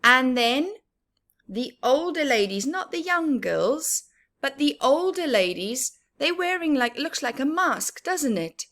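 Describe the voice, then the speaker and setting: Slow-paced woman reading to children. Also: high voice. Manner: expressive, teacherly, emphatic repetition, questions to the listener. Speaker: children's story time reader. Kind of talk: picture book read-aloud with explanatory asides to children.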